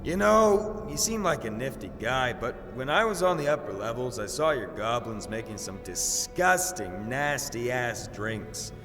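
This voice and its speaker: Cocky, swooping young warrior. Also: male voice, mid-range voice, very animated, a little slow, few pauses. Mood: casual, teasing. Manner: flippant, casual, boastful. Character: cocky, crude young male swaggering warrior. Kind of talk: fantasy audio drama acting. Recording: long reverb, music underneath.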